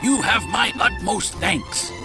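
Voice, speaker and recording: Effusive landlord, wildly swooping voice. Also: male voice, extremely high pitch, huge pitch swings, slow pace, vocal fry, over loud music, heavily acted. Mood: grateful, eager. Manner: effusive, repeats his words, formal. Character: obliging male landlord, effusively polite and business-minded. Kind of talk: video game voice acting.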